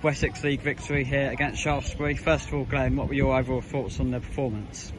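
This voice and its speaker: A man, a club media interviewer, asking brisk, slightly stumbling post-match questions. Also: mid-range voice, steady tone. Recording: outdoor recording with clear traffic noise.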